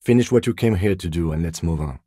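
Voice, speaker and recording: A man acting a reserved, dutiful police detective in a video game, speaking in a measured, formal, deadpan way with dry courtesy. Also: low-pitched, slightly rough, no echo. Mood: cautious, quietly insistent. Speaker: voice actor.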